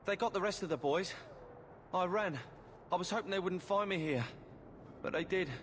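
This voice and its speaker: A high-voiced rustic teenage boy. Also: moderate pace, a bit bright. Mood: shaken. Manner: plain rustic speech. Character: scared, earnest fifteen-year-old working-class boy. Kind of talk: video game cutscene acting. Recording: clear ambient game noise behind.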